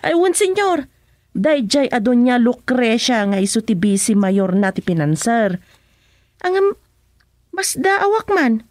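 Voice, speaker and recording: An actress playing a cheeky, gossipy servant woman in a radio comedy-drama, with drawn-out exclamations and an Ilocano accent. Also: a little high, very animated, a little mellow, no echo, clean studio sound.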